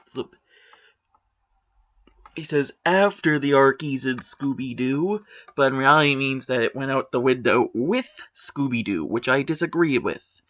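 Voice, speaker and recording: A mid-pitched man reads an online post aloud and argues with it. He stays matter-of-fact and critical, slipping in sarcastic asides. The audio is muffled and lo-fi. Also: lively intonation, a little slow, fairly bright tone. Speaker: commentator. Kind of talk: opinionated commentary on a blog post.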